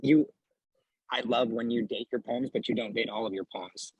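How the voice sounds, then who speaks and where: Husky low-ish guy asking a question, dry sound, lots of ums. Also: moderate pace, darkish tone, no room sound, muffled recording. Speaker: audience member asking a question. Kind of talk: question in a discussion.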